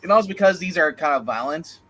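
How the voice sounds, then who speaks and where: A man's voice: a little high, expressive, fast, a bit bright, slightly rough. A man co-hosting a livestream panel in casual, joking chat. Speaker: co-host of a comics discussion show.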